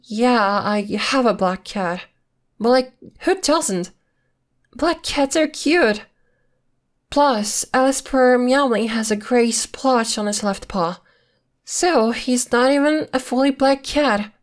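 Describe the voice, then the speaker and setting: Woman playing a slow-talking, coy witchy girlfriend. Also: a little high. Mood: playfully defensive. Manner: coy, teasing, casually conversational. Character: playful, evasive girlfriend who is secretly a witch. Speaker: voice actor. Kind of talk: ASMR roleplay acting, intimate one-sided dialogue.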